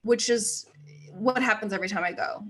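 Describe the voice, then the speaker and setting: A woman in her thirties, raised in the United States, chatting as a guest on an interview show, laughing often and filling pauses with "um" and "like". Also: medium pitch, a bit bright. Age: 30s. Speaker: guest, actor and writer. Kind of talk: casual interview chat.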